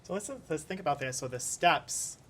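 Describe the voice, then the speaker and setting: A man's voice: fairly high pitch, normal speed, a bit bright. A man co-teaching a children's lesson, patiently explaining words and asking questions.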